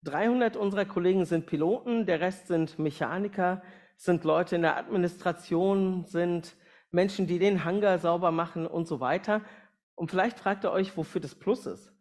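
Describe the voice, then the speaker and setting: A man's voice: sits high, normal speed. Man preaching and presenting mission work. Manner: plain-spoken, direct address with rhetorical questions and illustrations. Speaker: missionary aviation organisation speaker, guest preacher. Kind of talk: sermon and mission presentation to a congregation.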